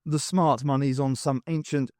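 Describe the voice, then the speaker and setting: A man's voice: medium pitch, fairly even intonation, moderate pace, darkish tone, no echo, studio quality, natural voice. A man voicing a chatty, cheeky male sidekick in an audiobook, with a flippant, chirpy manner.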